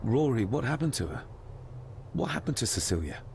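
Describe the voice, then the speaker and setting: An actor playing an earnest, polite and inquisitive young gentleman heir of the 1920s in a video game. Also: mid-range voice, very animated, fairly quick, vocal fry, a little hiss or hum. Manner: polite, questioning. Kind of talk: dramatic game cutscene dialogue.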